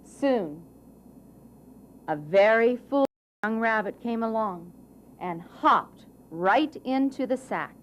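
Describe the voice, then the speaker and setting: A woman narrating a children's story in a deliberate, expressive way with dramatic phrasing. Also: a little high, a little hiss or hum.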